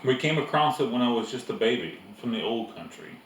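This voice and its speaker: A man's voice: medium pitch, expressive, brisk, roomy sound, distant mic, a little hiss or hum, natural voice, rough recording. A man voicing a knowing, self-assured farm girl with a confident, matter-of-fact manner in a storybook.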